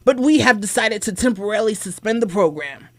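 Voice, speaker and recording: A woman playing a firm, composed executive in an old-time radio drama, formal and measured in delivery. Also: mid-range voice, lively intonation, close to an everyday voice.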